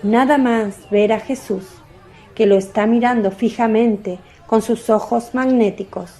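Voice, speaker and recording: A woman's voice: a little high, fairly even intonation, measured pace, warm tone, some chatter behind. A woman narrating a scripted religious radio drama as a storyteller.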